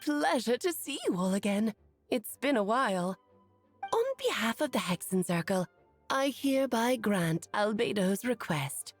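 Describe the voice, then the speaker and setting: High, swooping, theatrical witch. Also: lively intonation, a little slow, soft background music. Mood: breezy, grand. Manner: theatrical, calls people darling. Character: breezy, theatrical, playful witch, grand and affectionate aunt. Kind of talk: video game voice acting.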